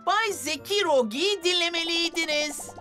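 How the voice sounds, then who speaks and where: A woman voicing a cheeky, skeptical young bus in a dubbed children's cartoon, animated and exclamatory. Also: extremely high pitch, unhurried, exaggerated voice. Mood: teasing, animated. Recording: background music under the voice.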